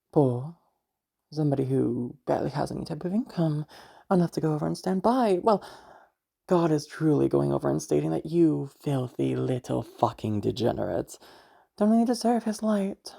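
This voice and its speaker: A woman voicing a cruel, pious, domineering mother in an amateur audio roleplay, condescending and taunting, with drawn-out pauses and hums. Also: low-pitched, lively intonation, gravelly, dark tone, heavily acted. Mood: scornful, righteous.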